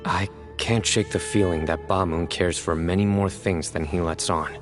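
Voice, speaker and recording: A man voicing a grave young desert warrior in a game, with a very low voice and a measured, serious way of talking. Soft game music sits under him. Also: steady tone, lightly acted. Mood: solemn. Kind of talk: game voice acting.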